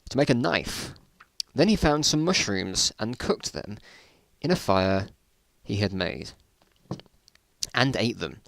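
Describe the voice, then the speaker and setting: Male, British, mid-pitched. Informal dramatic storytelling with incredulous, mocking asides, recorded very dry. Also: no room sound. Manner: amused, incredulous, breaking off to comment. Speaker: reader of his own old school stories.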